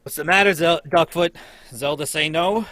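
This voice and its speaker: A man's voice: on the high side, normal speed, noisy background, close to an everyday voice. An actor playing a harried male circus manager in a 1940s-style radio drama table read, barking orders. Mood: calm.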